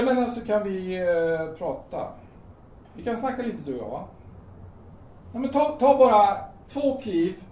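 A man's voice: high-pitched, darkish tone, a little hiss or hum. Man acting soothing, hesitant man in a roomy space. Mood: anxious, coaxing. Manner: hesitant, soothing, coaxing, colloquial. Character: calm carpenter talking a man down from a rooftop. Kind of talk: audio drama acting. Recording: roomy sound, distant from microphone.

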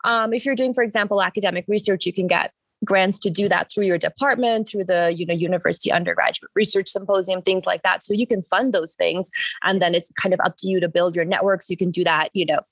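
A woman's voice: fairly low pitch, steady tone, quick pace, no echo. A woman from Sri Lanka speaking conversationally as a panelist, often dropping in "you know" as an aside.

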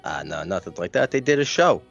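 A man's voice: low-pitched, very animated, a little fast, gravelly, heavily acted. A man playing a hesitant, apologetic wrestling go-between, hemming and hawing in a comedic audio play. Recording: muffled, music underneath.

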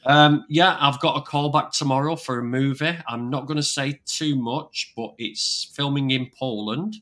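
A man's voice: mid-range voice, moderate pace, fairly bright tone. An older man hosting a casual livestream chat over a video call, rambling with frequent restarts. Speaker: online vegan channel host.

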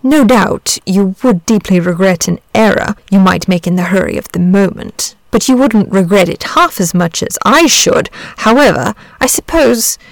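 A woman voicing a big blue storybook dragon, a lazy, vain gentleman with a chatty, fussy, old-fashioned politeness. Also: mid-range voice, animated, normal speed, vocal fry, few pauses, dry recording. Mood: testy, resigned. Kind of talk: storybook character voice.

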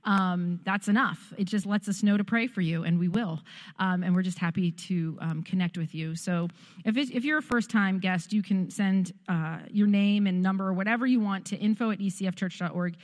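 A woman's voice: medium pitch, moderate pace. A woman giving casual church announcements from the sanctuary, talking loosely with many ums and uhs. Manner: chatty, warm filler-laden delivery with many ums. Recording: long hall reverb of a church.

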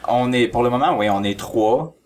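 A man's voice: mid-range voice, normal speed, darkish tone. Casual young Quebec man, noisy recording. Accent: Quebec French. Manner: informal, swearing. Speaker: podcast guest, indie film team member. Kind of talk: casual interview chat.

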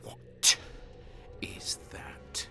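A man's voice: drawn-out words, in a whisper, music in the background. An actor playing a grim, sadistic traitor guardsman in an audio drama, curt and contemptuous. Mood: uneasy. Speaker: first-person narrator and character.

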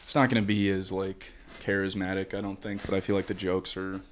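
Low-voiced male podcast co-host, raspy and relaxed. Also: brisk, slight background noise. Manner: laid-back, laughs easily. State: raspy after a nap. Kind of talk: casual podcast banter.

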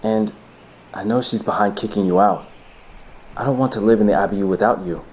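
A man's voice: fairly low pitch, fairly quick, a little mellow, a little hiss or hum, lightly acted. An actor playing an earnest, lovelorn young man in an audio drama, with a pleading manner. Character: earnest, lovelorn young man rebelling against his mother.

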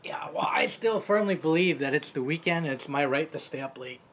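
Brisk, slightly higher-voiced man in his forties. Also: animated, fairly bright tone, slight background noise. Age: 40s. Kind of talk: casual chat.

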